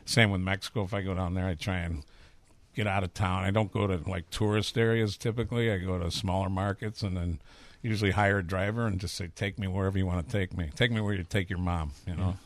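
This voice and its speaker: A man's voice: deep voice, steady tone, fast, no room sound. A man in his fifties with a Chicago accent, a chef talking candidly and rambling through stories in a casual interview. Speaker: chef and restaurateur.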